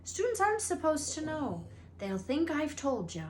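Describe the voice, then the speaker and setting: A woman voicing a gruff, shifty giant gamekeeper man from a storybook, with rustic, scolding speech. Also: high voice, very animated, normal speed, a little hiss or hum, pitched above the performer's own voice. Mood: hushed scolding.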